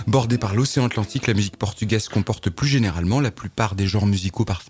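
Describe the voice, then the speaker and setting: A man's voice: fairly low pitch, fast, a little mellow, breathy edge. A man co-hosting a radio music show, presenting the tracks and poking fun at his own slips. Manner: self-mocking, laughs at his slips. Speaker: radio co-host. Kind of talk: casual radio chat.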